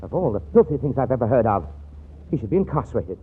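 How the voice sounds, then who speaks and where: A man's voice: mid-range voice, big pitch swings, quick pace, slightly rough. An actor playing a stuffy, earnest young millionaire in a 1940s radio comedy, formal, pompous and a little hesitant in his precise wording. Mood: indignant. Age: young adult. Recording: tinny, noisy 1940s radio broadcast.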